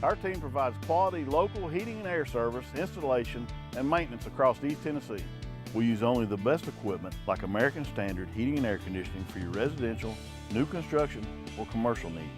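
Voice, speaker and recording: A man's voice: medium pitch, expressive, fast. Earnest man reading a radio ad over music. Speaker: heating and air business owner in an ad. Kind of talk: radio ad read.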